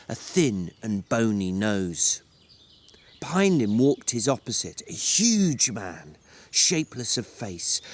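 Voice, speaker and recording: Brief: male narrator, mid-pitched, for literary fiction, deliberate and measured, dramatic delivery. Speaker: narrator reading a novel.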